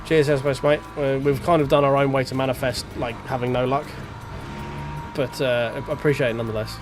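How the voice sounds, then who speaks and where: Congested streamer over racing game sounds. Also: male voice, medium pitch, steady tone, brisk. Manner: chatty, mutters to himself while driving. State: congested, blocked-up nose. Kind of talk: live gameplay commentary. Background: game music and car engine sounds.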